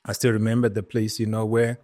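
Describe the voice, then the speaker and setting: A man's voice: fairly low pitch, completely flat delivery, brisk, warm tone. A man from Zimbabwe, a guest recounting his journey in a podcast interview, earnest and reflective, with many "you know" fillers. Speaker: guest entrepreneur.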